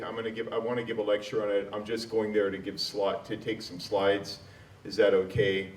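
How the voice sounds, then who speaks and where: A man's voice: on the low side, darkish tone. A middle-aged man, an architectural historian speaking as a guest at an outdoor panel discussion into a handheld mic, telling his story with lots of "uh" fillers and a self-deprecating touch. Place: outdoor courtyard. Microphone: handheld mic, far camera. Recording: echoey, distant, faint background noise.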